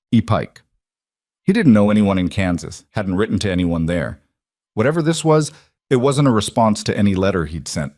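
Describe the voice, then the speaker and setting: A man narrating a scripted story in a measured, even storytelling manner. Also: lowish voice, no room sound, studio quality.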